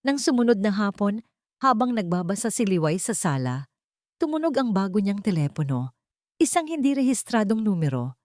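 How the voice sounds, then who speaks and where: A woman's voice: mid-range voice, very animated, normal speed, a little mellow, dry recording, clean studio sound. A woman with a Filipino accent narrating a scripted story in a dramatic storytelling style.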